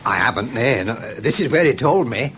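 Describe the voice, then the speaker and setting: A grumbling, stubborn Northern Englishman in a radio play, voiced by an actor. He is blunt and muttering, with a mid-pitched, animated delivery over clearly audible ambient noise. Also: a little fast, close to an everyday voice. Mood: puzzled, stubborn. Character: gruff, grumbling northern man, stubborn visitor. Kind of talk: British radio drama acting.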